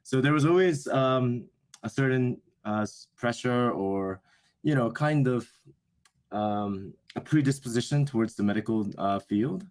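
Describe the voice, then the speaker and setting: A man's voice: medium pitch, unhurried, a little mellow. A young man whose first language is Japanese, answering interview questions in a thoughtful, hesitant way that often corrects itself. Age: young adult. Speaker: interview guest, business school student.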